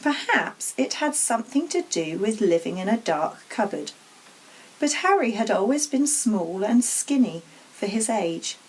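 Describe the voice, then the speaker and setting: A woman reading a children's storybook aloud as an expressive narrator. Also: a little high, a little slow, husky, low-quality recording. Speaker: story reader.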